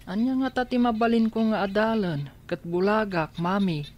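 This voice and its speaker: A woman's voice: medium pitch, normal speed, highs cut off, close to an everyday voice. A woman playing an earnest, doubtful blind young boy in a radio drama, speaking plaintively. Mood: plaintive, unsure.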